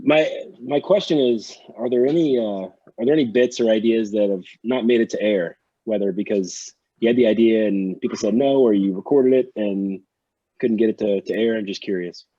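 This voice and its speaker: Hesitant male caller, low, dull dry sound. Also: moderate pace, dark tone, slightly rough, no room sound. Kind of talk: call-in question.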